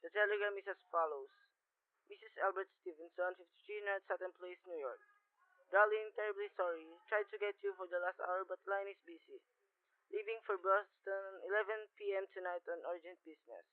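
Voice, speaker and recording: Casting: woman as a detached telegram clerk for radio drama, medium-pitched, heard down a narrow phone line, formal recital. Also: steady tone, brisk, no room sound. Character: businesslike telegram clerk reading a message aloud. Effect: telephone filter. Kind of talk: 1940s radio play acting.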